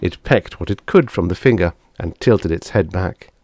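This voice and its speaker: A man's voice: low voice, animated, moderate pace, a little mellow, dry recording, clean studio sound. A man reading a short story aloud as scripted audiobook narration.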